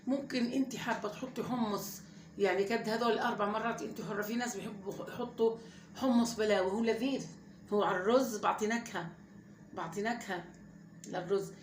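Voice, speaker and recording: A woman's voice: medium pitch, fast, reverberant, a little hiss or hum. A woman, hosting a home cooking channel and chatting casually and rambling straight to her viewers from her kitchen. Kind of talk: casual cooking vlog chat.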